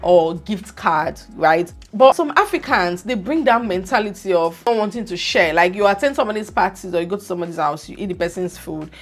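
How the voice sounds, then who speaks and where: A young woman from Nigeria with a Nigerian accent, talking to her viewers in a casual, animated and scolding vlog monologue. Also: on the low side, fairly quick, few pauses, soft background music. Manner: animated, scolding, pidgin words mixed in. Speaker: vlogger.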